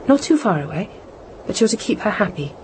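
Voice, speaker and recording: A woman's voice: mid-range voice, big pitch swings, a little fast, darkish tone, slight background noise, lightly acted, rough recording. An actress playing a composed British housewife and mother turned reluctant spy in a radio drama, speaking with control. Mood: patient, firm. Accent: British English.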